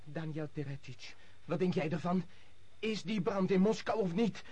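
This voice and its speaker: An actor playing an awestruck servant in a radio drama, speaking with agitated wonder. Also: male voice, high voice, normal speed, a little mellow, husky. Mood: awed. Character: awestruck servant watching a distant fire.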